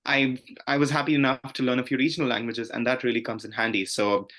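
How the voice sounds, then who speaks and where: A man's voice: medium pitch, flat delivery, fast, a bit bright. A man from India, an airport passenger service agent, explaining at length with some hesitations. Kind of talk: casual panel talk.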